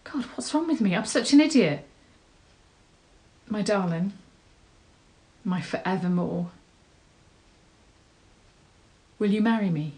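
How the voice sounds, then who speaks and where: A woman's voice: mid-range voice, animated, unhurried, pauses often, a little mellow, natural voice. An actress performing a dramatic monologue as a nervous, tender woman, earnest, hesitant and self-deprecating. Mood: flustered. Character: nervous, tender, rambling woman proposing marriage.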